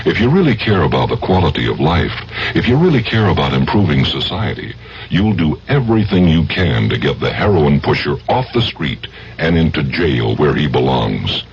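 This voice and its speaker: A man's voice: rumbling low, slightly rough. A man reading an earnest, emphatic scripted public service announcement on 1970s radio. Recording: muffled 1970s radio broadcast, faint noise.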